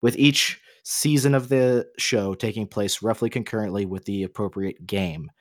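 A man's voice: on the low side, normal speed, no room sound, clean studio sound. A man co-hosting a casual, opinionated discussion, explaining his points at length in a rambling, emphatic way. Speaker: podcast co-host.

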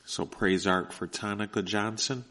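Slow, deep-voiced man announcing. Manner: slow, relaxed delivery with many fillers. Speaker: radio host. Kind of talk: casual announcements.

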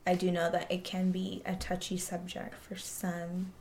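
A young woman from Japan chatting casually as an interview guest, animated and prone to mimicking other people as she talks. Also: on the low side, unhurried, gravelly. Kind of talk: casual conversation.

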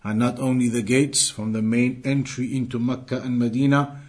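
A man's voice: medium pitch, flat delivery, normal speed, darkish tone. A man teaching a religious lesson to a live class, earnest and repeating key phrases, reciting Arabic fluently and quizzing his students. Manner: quizzes the class and waits for answers. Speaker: Islamic teacher.

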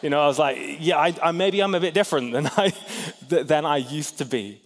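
A man in his thirties from Hong Kong gives a chatty, self-deprecating sermon, slightly high-pitched for a man. He keeps asking the congregation questions. His voice rings with the long reverb of a large church hall. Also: fairly quick. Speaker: pastor.

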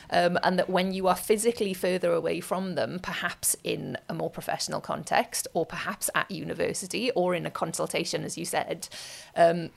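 A woman's voice: on the low side, gravelly. A woman co-hosting a podcast, explaining ideas in a thoughtful, conversational way with frequent fillers. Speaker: podcast co-host. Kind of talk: casual discussion.

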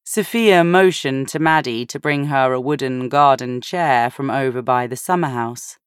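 A woman's voice: rumbling low, normal speed, clear, resonant tone, no echo, studio quality. A woman reading scripted fiction as an audiobook narrator.

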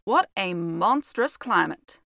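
Woman doing a calm, wry American gentleman. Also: mid-range voice, slow pace, gravelly, no room sound, clean studio sound, full character voice. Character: American gentleman, a calm, dry-humoured minister.

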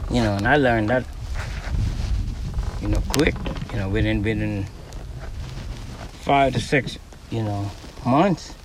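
A man from the Bahamas with a Bahamian accent, a fishing guide speaking in an interview, relaxed and rambling with lots of "you know.". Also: fairly low pitch, takes it slowly, pauses often, distant mic, noisy background. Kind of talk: recorded interview on a boat. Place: outdoors on the water by boat.

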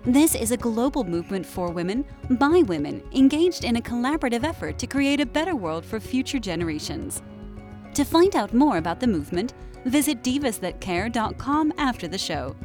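A woman with a fairly high, lively voice delivers a polished, upbeat show introduction. Faint music plays beneath her. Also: a little fast. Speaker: show announcer. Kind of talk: scripted promo intro.

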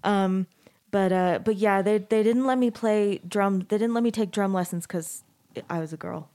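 A woman's voice: medium pitch, moderate pace, dark tone. Chatty woman in her thirties. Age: 30s. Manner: rambling, with many likes and restarts. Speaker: podcast host. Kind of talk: casual chat.

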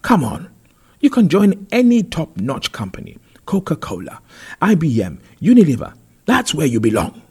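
A man's voice: mid-range voice, big pitch swings, slow pace, a little mellow, breathy edge, heavily acted. A man voicing an exasperated wealthy father who scolds his grown son with emphatic indignation in an audiobook. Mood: exasperated, indignant.

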